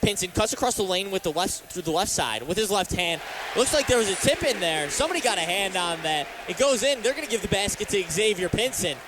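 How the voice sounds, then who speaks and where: High-pitched American man calling basketball play-by-play. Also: expressive, fairly quick. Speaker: radio play-by-play announcer and sports talk host. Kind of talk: live basketball play-by-play commentary. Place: courtside in a sports arena. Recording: live arena broadcast with faint background noise.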